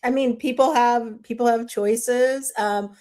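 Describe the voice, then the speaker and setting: A woman running for office joins a panel chat as a guest. She speaks in a measured, unhurried way and often opens with a hesitant um. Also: a little high, steady tone, a little mellow. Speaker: Senate candidate guest.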